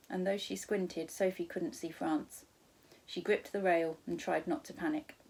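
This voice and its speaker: A woman reading a children's storybook aloud as a teacher, in expressive narration with the odd corrected stumble. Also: lowish voice, creaky, slightly rough, poor audio.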